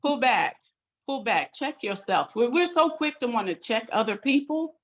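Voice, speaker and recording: A woman sharing a personal testimony on a video call, earnest and halting with fillers. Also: a little high, big pitch swings, fairly bright tone. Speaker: guest.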